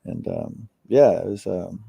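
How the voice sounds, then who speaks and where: A man in his thirties, from Costa Rica, chatting casually as an interview guest, relaxed with many fillers and repeated words. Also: low voice, unhurried, gravelly, breathy edge, dry recording. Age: 30s.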